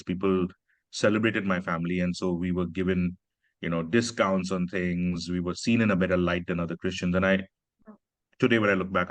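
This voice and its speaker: Deep-voiced reflective male author from Pakistan. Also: no echo. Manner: earnest, reflective, frequent "you know" and "right". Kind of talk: conversational literary talk. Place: literary festival stage.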